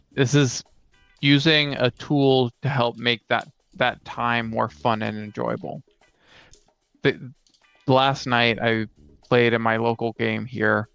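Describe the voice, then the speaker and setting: A man chats casually on a podcast with a creaky but smooth, resonant voice at a medium pitch. The recording is dry and a little dull, with faint music behind. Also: a bit bright, no room sound.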